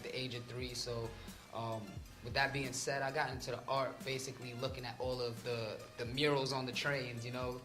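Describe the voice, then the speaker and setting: A man's voice: mid-range voice, roomy sound. A man who grew up in Trinidad, chatting casually as a guest artist in an interview and filling his talk with "you know" and "um". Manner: laid-back, with many fillers.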